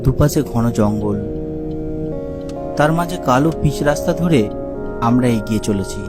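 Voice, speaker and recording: A man narrating a cartoon ghost story in a calm, measured storytelling voice. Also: mid-range voice, loud music behind the voice.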